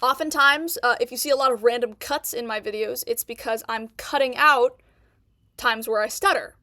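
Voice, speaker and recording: Brief: woman streamer with a stutter, fairly high voice, dry close sound, indignant reaction commentary. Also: moderate pace, pushed and bright, no echo. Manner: indignant, repeats herself.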